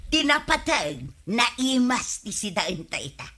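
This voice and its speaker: A breezy, high-voiced Ilocano spendthrift. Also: female voice, big pitch swings, takes it slowly, pushed and bright, heavily acted. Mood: breezy, brazen. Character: carefree woman who keeps buying on credit. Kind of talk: Ilocano comedy radio drama acting.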